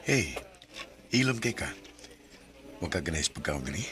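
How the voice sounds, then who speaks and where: An earnest, smitten young suitor played by a man with a very low voice. He speaks in a reassuring, sincere way in an amateur radio drama. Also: big pitch swings, normal speed, a bit bright.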